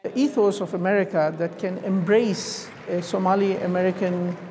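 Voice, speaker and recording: Mellow woman in a reverberant hall. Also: fairly low pitch, fairly even intonation, normal speed, slight background noise. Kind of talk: interview clip.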